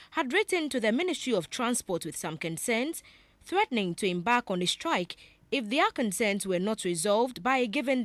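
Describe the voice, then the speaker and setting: A woman's voice: a little high, a bit bright, no echo. A woman with a Ghanaian accent reading a scripted television news report.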